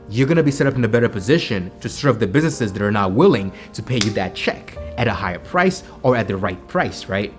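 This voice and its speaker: A man with a Kenyan accent, vlogging about business with energy, repeating himself and asking "right?" to his viewers. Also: mid-range voice. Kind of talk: motivational business vlog talk. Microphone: camera mic on a home video set.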